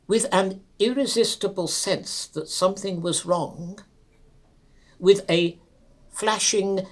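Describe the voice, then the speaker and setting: A man reads a classic ghost story as a dramatic narrator. His delivery is slow and solemn, with measured, theatrical phrasing that gives each clause its own space. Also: high voice.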